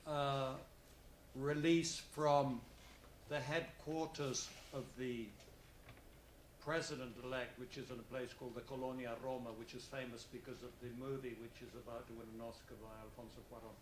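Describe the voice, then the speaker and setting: A man's voice: medium pitch, flat delivery, normal speed, a little hiss or hum. An older man with a foreign accent moderating a conference panel, rambling with many asides. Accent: non-native English, foreign-accented. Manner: rambling, digressive, full of asides. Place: conference hall. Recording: roomy conference hall with faint ambience.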